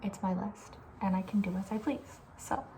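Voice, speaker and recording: A woman speaking softly with breathy, near-whispered edges, chatting casually and rambling with playful opinions as an ASMR host. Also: mid-range voice, steady tone, moderate pace, a little hiss or hum. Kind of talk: soft-spoken ASMR casual chat.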